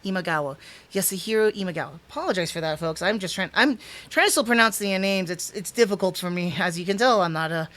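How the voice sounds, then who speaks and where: A man's voice: sits high, quick pace. A man in his thirties hosting a casual livestream review from a small room at home, talking into a desk webcam setup, rambling and restarting, stumbling over names and chuckling. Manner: animated, gestures with hands while talking.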